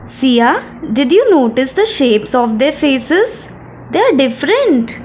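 A woman voicing a cheerful, excited elder sister, a young girl playing with dolls in a children's story, with a bubbly sing-song delivery. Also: high voice, takes it slowly, a little hiss or hum, full character voice.

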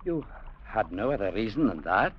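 An actor playing a courteous, quietly stubborn young Scottish newcomer in an old radio adventure drama. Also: male voice, lowish voice, big pitch swings, slight background noise. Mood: restrained, polite. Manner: measured, courteous, quietly firm. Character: young Scottish newcomer clerk, polite but stubborn man. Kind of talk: 1940s-50s radio drama acting and narration. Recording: dull old radio broadcast.

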